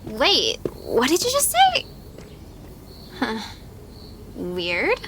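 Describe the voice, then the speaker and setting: A woman's voice: high voice, drawn-out words, exaggerated voice. A woman playing a weary, wary young woman musing to herself in an audio drama monologue. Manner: musing, expressive. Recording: faint background noise and ambience.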